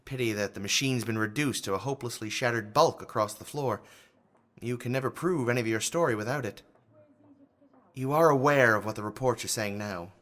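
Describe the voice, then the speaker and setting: A man's voice: medium pitch, fairly bright tone, natural voice. An actor playing a firm, skeptical male asylum doctor in a radio drama, formal and coolly condescending. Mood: probing. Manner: measured, formal, dismissive.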